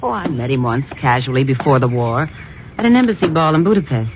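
A woman's voice: very deep voice, animated, fast. An actress playing a poised, affectionate but evasive society woman, with a polished, airy manner, in 1950s radio drama style. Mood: breezy, poised. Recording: muffled, hissy old radio recording.

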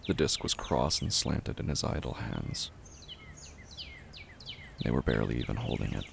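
A man reading scripted literary horror narration in a brooding, deliberate way, often dropping to a hush. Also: a bass voice, animated, creaky, a little hiss or hum.

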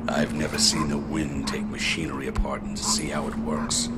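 A man's voice: rumbling low, level tone, moderate pace. An actor playing an analytical but uneasy male expedition scientist in a radio drama, who reasons aloud with growing agitation. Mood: tense. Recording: audible background noise.

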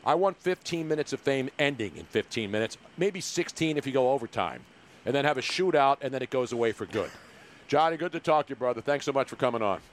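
A middle-aged or older American man with a mid-pitched voice hosts a casual sports show. He talks in brash street slang and banters with everyone, peppering his lines with "man.". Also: expressive, quick pace, slight background noise. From Philadelphia, USA. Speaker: podcast host.

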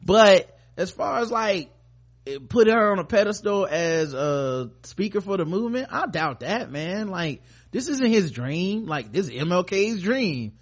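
Higher-pitched American man, irreverent podcast host. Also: sing-song, measured pace. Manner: irreverent, sarcastic, sweary. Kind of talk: casual comedic news commentary.

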